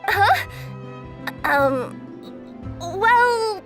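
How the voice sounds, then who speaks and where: A woman's voice: very high voice, lively intonation, very slow, a bit bright, heavily acted. A woman voicing a young female cat yokai courier who is bubbly, flustered and stammering. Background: game music.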